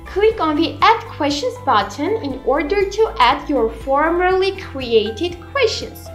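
A woman in her twenties presents a scripted tutorial in a high, girlish pitch. She takes her time over each phrase, speaking slowly and deliberately. Music plays behind her voice. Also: lively intonation. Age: 20s. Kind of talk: scripted narration, software tutorial. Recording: roomy echo, music behind. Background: background music.

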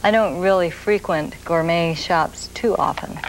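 A woman in her forties hosting a TV cooking show, explaining a recipe in a slow, gentle, soft-spoken way from a studio kitchen set. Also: fairly low pitch, rough recording. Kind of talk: TV cooking demonstration. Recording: grainy 1980s videotape with noise.

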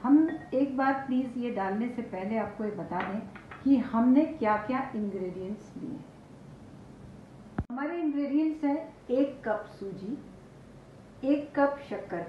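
An older woman chef with an Indian accent, explaining a dish step by step to the camera. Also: fairly high pitch. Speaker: chef, cooking show host. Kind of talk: cooking demonstration to camera. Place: home kitchen, indoors. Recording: echoey, muffled kitchen recording with noise.

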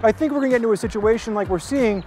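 A man's voice: high voice, rapid-fire, a little mellow, faint music behind. A man in his thirties presenting a vehicle review outdoors, explaining things in a conversational way. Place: outdoors beside a motorcycle.

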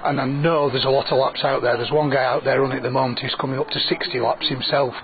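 A man's voice: medium pitch, little pitch movement, fast talker. A thin, phone-like recording of a man in his fifties. He explains things informally as an event organiser outdoors, with crowd chatter behind him. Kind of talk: informal interview. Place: outdoors under canopy by running track.

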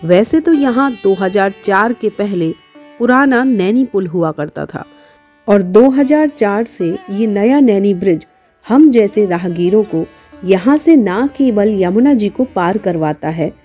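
A woman's voice: medium pitch, lively intonation, measured pace, mellow, husky, muffled recording, soft background music. A woman narrates a travel vlog, devotional in manner and speaking straight to viewers.

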